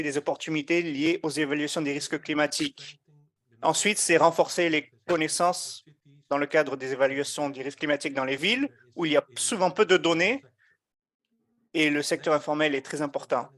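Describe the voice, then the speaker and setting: A man giving a live interpretation of an online meeting, measured and often hesitating as he keeps up with the speakers. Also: fairly high pitch, a bit bright. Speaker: simultaneous interpreter.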